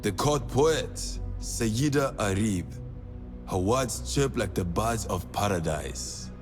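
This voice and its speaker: A man playing a cultured, poetic spymaster in a video game, speaking in a measured way. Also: on the low side, animated, music in the background. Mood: genial. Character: cultured, poetic male spymaster of assassins' bureau. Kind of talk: video game cutscene acting.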